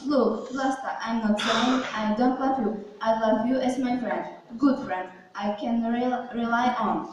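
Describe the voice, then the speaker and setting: A woman's voice: a little high, fairly even intonation, a little slow, few pauses, lo-fi sound, close to an everyday voice. Woman playing a weary widowed ruler, very echoey. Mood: firm, weary. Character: widowed male ruler, weary, stubborn, refusing a suitor. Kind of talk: amateur puppet show acting. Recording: very echoey room, far from mic.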